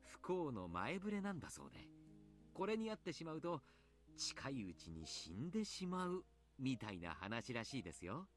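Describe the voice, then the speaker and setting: An actor playing a jovial, kindly adult coach in a visual novel, polite, chatty and playfully teasing. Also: male voice, mid-range voice, wildly swooping pitch, quick pace, faint music behind. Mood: calm storytelling. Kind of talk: Japanese visual novel voice acting.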